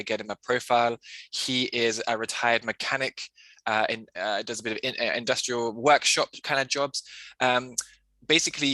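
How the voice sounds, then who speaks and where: A man's voice: medium pitch, sharp tone, no echo, poor audio. A man hosting a casual podcast over a video call on a headset, rambling with many restarts. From English-speaking country. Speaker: podcast host and English tutor.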